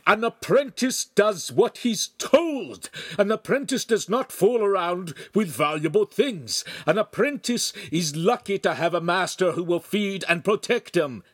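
A man voicing a furious big master craftsman in an audiobook, shouting with menace. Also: sits high, lively intonation, a little slow, few pauses, slightly rough, dry recording, studio quality, voice pushed up, full character voice. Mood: enraged.

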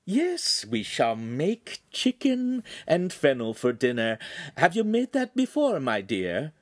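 A man reading an audiobook and voicing a cheerful, bossy noblewoman in a high, sing-song lilt. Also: huge pitch swings, unhurried, a little mellow, no echo, exaggerated voice. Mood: brisk, cheerful. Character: fussy older female noblewoman, brisk and cheerful. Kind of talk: audiobook character voice.

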